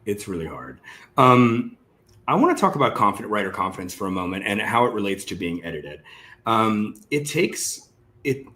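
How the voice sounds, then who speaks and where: A man's voice: lowish voice, big pitch swings, normal speed, pushed and bright. A man hosting an interview, asking long conversational questions that he tentatively rephrases as he goes. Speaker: interview host.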